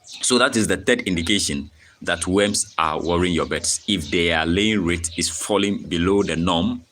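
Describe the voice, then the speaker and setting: A man's voice: low voice, unhurried, a bit bright, a little hiss or hum. A young Ghanaian man hosting a live-stream farming tutorial, explaining things step by step and checking in with a frequent "okay?". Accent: Ghanaian English. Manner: repetitive, frequent "okay?" check-ins, reads chat aloud. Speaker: poultry farmer and channel host.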